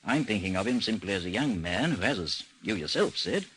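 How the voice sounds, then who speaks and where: An actor playing a composed, dry investigation chief in an old radio drama, speaking and narrating in a formal, understated way. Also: male voice, lowish voice, big pitch swings, fairly quick, a bit bright. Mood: measured, dry. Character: composed, dry British chief of a missing persons bureau, narrating his case.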